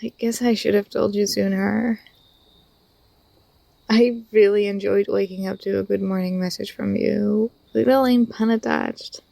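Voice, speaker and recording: A young woman playing an affectionate, teasing university student in an intimate ASMR roleplay, speaking softly with a tender, hesitant delivery. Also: medium pitch, steady tone, a little slow, gravelly, no echo. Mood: fond, teasing.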